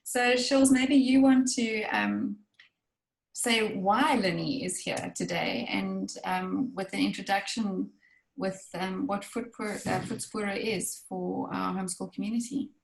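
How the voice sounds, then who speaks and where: Woman host with a gravelly edge, friendly prompting, roomy echo. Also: mid-range voice, measured pace. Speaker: interview host. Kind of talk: conversational interviewing.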